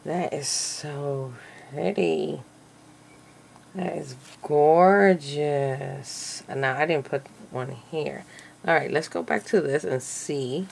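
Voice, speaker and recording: A woman with a croaky voice, feeling like she's getting sick, musing aloud and gushing over her craft project at a table indoors. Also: low-pitched, slow pace, darkish tone, a little hiss or hum. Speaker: craft channel host. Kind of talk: casual crafting commentary.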